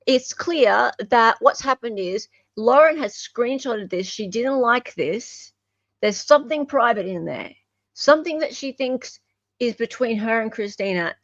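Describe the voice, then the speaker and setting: A woman's voice: a little high, fairly bright tone, no room sound, muffled recording. An Australian woman hosting a casual livestream in a chatty, affectionate way. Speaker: livestream host.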